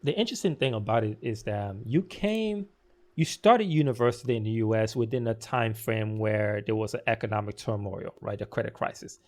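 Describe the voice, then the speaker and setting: A man's voice: lowish voice, brisk, a little mellow. A man hosting an interview podcast, asking long, encouraging questions in a casual, friendly manner. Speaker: podcast host.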